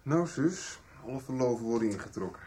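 An actor playing a sarcastic, teasing teenage brother, flippant, in a Dutch radio soap. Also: male voice, mid-range voice, lively intonation, fairly quick, warm tone, a little hiss or hum, natural voice. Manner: mocking, flippant.

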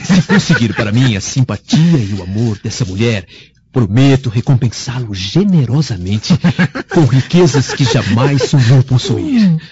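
An actor playing a rich, lovesick schemer in a radio drama, impassioned and insistent. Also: male voice, medium pitch, very animated, unhurried, a little mellow. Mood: coaxing, eager. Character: rich lovesick man, eager, pleading and scheming. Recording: dull lo-fi audio with faint music.

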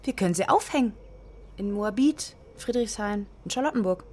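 A woman's voice: medium pitch, lively intonation, normal speed, darkish tone, lightly acted. An actress playing an outspoken woman planning with friends, in naturalistic radio drama dialogue. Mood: dry.

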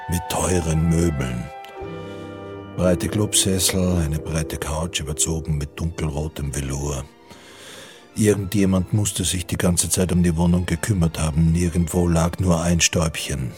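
An actor as the sober narrator of a radio play, reading a scripted literary account in a measured, detached manner. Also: male voice, sits low. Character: sober male narrator of wartime novel-like account.

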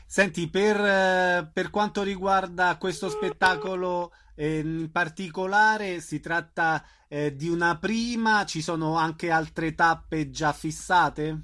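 A man asking conversational interview questions, with measured, slightly hesitant phrasing. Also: high-pitched, bright, forceful tone. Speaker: radio interviewer.

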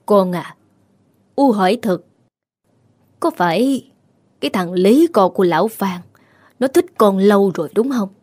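A woman's voice: mid-range voice, animated, measured pace, long pauses, a little mellow. A woman voicing a grieving, vengeful old village mother in a horror story, cold and bitter. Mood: cold scheming.

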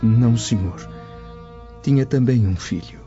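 An actor playing a humble, dignified and sorrowful slave in a radio drama, speaking gravely and deferentially. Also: male voice, medium pitch, dark tone, lightly acted. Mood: sorrowful, resigned. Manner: deferential, grave, measured storytelling. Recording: dull audio over music bed.

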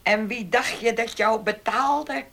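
An actress playing a domineering, scheming farm mistress in a Dutch radio play, commanding and condescending. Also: female voice, fairly high pitch, creaky, a bit bright, exaggerated voice. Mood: scornful, scheming. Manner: commanding, condescending, deliberate threats.

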